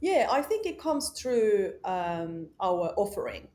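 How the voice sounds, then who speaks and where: A woman's voice: mid-range voice, big pitch swings, takes it slowly, a little mellow. A woman, a company founder talking emphatically and outspokenly in an interview, with lots of "uh" and "you know". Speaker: company founder and CEO, guest. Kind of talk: casual interview conversation.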